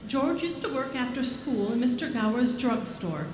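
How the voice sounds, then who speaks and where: A woman playing a brisk, kindly senior angel in a live radio play, explaining things with authority. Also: on the high side, animated, natural voice. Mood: explanatory. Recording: echoey room with clear background noise.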